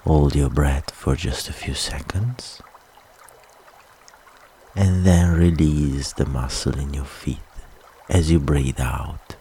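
A man with an extremely low, mellow voice guides a relaxation meditation. He speaks soothingly and very slowly, leaving long pauses between instructions. A faint trickle of water runs behind him. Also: fairly even intonation, slight background noise.

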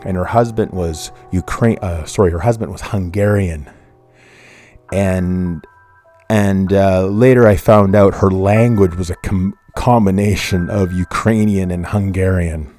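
A middle-aged man speaks in a very low, deep voice. He tells a personal story in a somber, rambling way, stopping often to correct himself. Faint music plays behind him. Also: steady tone, measured pace, dark tone. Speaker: podcast host.

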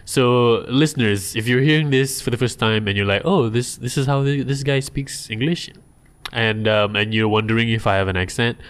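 Young malaysian guy on a podcast, teasing, laughs a lot, lively chatty. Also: medium pitch, normal speed, smooth. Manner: laughs often, animated and teasing. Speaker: podcast co-host. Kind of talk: casual chat.

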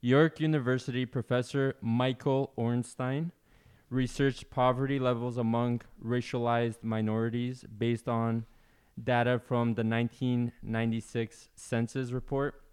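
Man, smooth clear voice, reading a report aloud haltingly, casual podcast. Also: medium pitch, little pitch movement, darkish tone. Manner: careful, halting reading with fillers. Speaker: podcast co-host.

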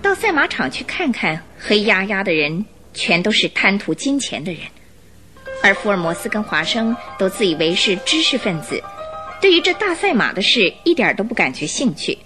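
Animated woman narrating a radio drama. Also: mid-range voice, normal speed, soft background music. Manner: expressive storytelling.

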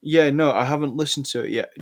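A man's voice: on the high side, fast. A man co-hosting a podcast, chatting casually about music in a laid-back way with short agreeing replies. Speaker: podcast co-host.

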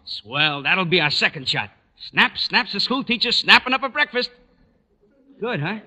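An actor playing a breezy, glib magazine photographer in an old radio sitcom, hearty in manner. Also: male voice, high voice, very animated, moderate pace, sharp tone, heavily acted. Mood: pleased. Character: breezy, slogan-happy male magazine photographer. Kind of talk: 1940s-50s radio sitcom acting.

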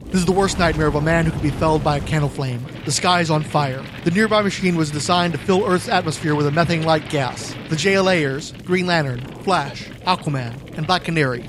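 A man's voice: fairly high pitch, brisk, few pauses, noisy background. A man reading dramatic scripted narration as the narrator of a radio play.

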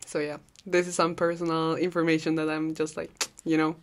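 A woman's voice: low voice, level tone, brisk. A young woman in her twenties with a Peruvian Spanish accent, hosting a podcast in a chatty, rambling way, laughing often and swearing casually. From Peru. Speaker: podcast host. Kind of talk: casual solo podcast monologue.

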